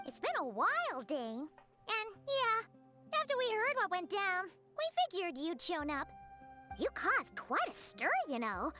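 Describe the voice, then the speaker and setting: A woman's voice: very high voice, big pitch swings, a little slow, sharp tone, soft background music, exaggerated voice. A woman voicing a tiny, chatty, cheeky female fairy companion in a video game. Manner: chatty, teasing, scolding.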